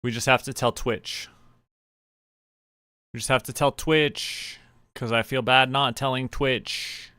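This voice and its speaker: A man's voice: mid-range voice, fairly even intonation, takes it slowly, pauses often, sharp tone, clean tone, no echo, studio quality. A man chatting casually on a livestream, rambling to himself as he goes about small tasks. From Canada. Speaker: streamer.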